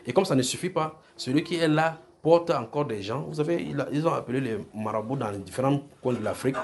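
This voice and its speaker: A man's voice: mid-range voice, fast. A man preaching a sermon in a church service, earnest and insistent, often repeating himself.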